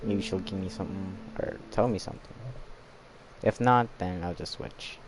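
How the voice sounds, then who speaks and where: A man's voice: sits low, fairly even intonation, moderate pace, noisy background. A young man giving casual gameplay commentary on a stream, rambling hesitantly and often trailing off. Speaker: game live streamer.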